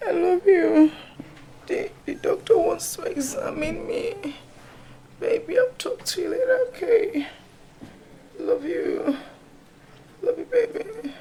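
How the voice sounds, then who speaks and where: A woman's voice: very high voice, fairly even intonation, takes it slowly, warm tone, heavily acted, rough recording. A woman playing a wheedling young girlfriend with a Nigerian accent, coaxing with endearments on a call. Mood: pitiful wheedling. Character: wheedling young girlfriend conning lovers for money. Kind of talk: acted comedy-drama phone call. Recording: clear background ambient noise.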